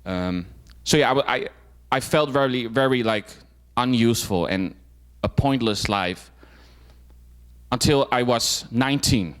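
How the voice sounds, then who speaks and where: A young man speaks to a club audience at a medium pitch. His talk is loose and personal, full of fillers and restarts. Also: measured pace, a bit bright. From Netherlands. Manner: informal, frequent fillers and restarts. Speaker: guest speaker.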